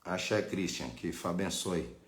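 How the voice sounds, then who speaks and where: A man's voice: sits low, lively intonation, unhurried. A Brazilian man teaching informally on a live stream, didactic and often checking that listeners follow. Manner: didactic, frequent checking tags. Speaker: religious teacher hosting a live online class. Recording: echoey, roomy sound.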